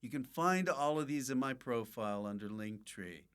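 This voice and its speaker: A man's voice: medium pitch, animated, moderate pace, fairly bright tone, clean tone. A man hosting a podcast in casual comedic chat, full of joking, mock-abusive banter. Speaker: podcast host.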